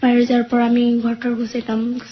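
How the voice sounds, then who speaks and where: Fast, high-voiced young woman caller. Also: completely flat delivery. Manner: rambling, acts out quoted speech. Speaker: caller sharing her life story. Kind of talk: casual personal storytelling. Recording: dull lo-fi phone or radio audio.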